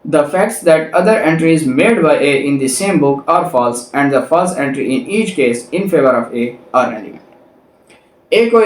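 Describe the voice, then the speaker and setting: Echoey, higher-pitched male law lecturer. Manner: didactic, rephrases and repeats points step by step. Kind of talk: explanatory lecture, reading legal text then explaining it. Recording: roomy echo, distant microphone.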